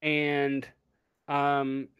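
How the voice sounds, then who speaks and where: A game master improvising narration for a tabletop roleplaying game, pausing often to think. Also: mid-range voice, monotone, a bit bright, clear, resonant tone, no echo.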